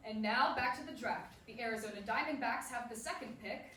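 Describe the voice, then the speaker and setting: A woman playing a polished, brisk sports broadcaster announcing news in a staged play reading. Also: a little high, fairly bright tone, close to an everyday voice. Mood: brisk, upbeat. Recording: roomy, distant, faint noise.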